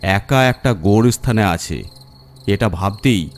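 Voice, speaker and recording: A man narrating a scripted horror story in a suspenseful, deliberate manner. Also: lowish voice, lively intonation.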